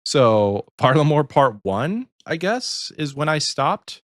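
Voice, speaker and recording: A man in his early thirties co-hosting a podcast, easygoing and quick to laugh. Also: fairly high pitch, big pitch swings, no echo, studio quality. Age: 30s. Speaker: podcast co-host. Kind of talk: casual chat.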